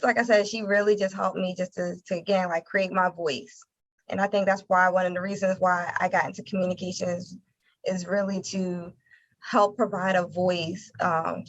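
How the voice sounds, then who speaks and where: An American woman from Philadelphia answering interview questions conversationally, haltingly repeating words as she thinks. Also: mid-range voice, steady tone, lo-fi sound. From Philadelphia, United States. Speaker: interview guest, nonprofit communications director.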